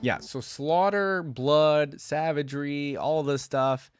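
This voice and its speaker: A man's voice: fairly high pitch, takes it slowly, clean tone, soft background music. A man hosting a reaction video, commenting casually and expressively. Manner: expressive, echoing lines he hears.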